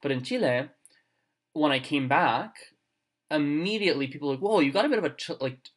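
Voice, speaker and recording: A man hosting a casual chat, talking in quick bursts full of fillers and restarts. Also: on the high side, animated. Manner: chatty, lots of fillers and restarts. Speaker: podcast host. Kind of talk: casual conversation.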